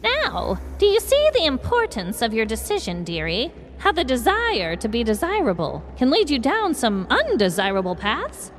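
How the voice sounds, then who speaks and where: A sly old witch who keeps a potion shop in a Halloween audio tale. Her delivery is coaxing and theatrical, with extremely animated pitch. Faint noise sits behind her. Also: normal speed, few pauses. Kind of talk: scripted Halloween story acting.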